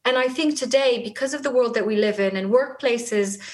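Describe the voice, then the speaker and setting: A woman's voice: a little high, normal speed, darkish tone. A middle-aged woman from Israel with an Israeli accent, a native Hebrew speaker, talking earnestly and reflectively as an interview guest, often restarting her sentences. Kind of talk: conversational interview.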